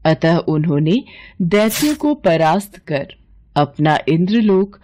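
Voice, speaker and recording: A woman's voice: lowish voice, sing-song, slow, warm tone, a little hiss or hum. A woman narrating a scripted mythological bedtime story as a storyteller.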